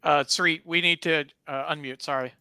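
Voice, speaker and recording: A man co-hosting a live talk show, commenting casually in a measured, hesitant way with pauses mid-sentence. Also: on the high side, steady tone, gravelly, pushed and bright, smooth, no echo, studio quality.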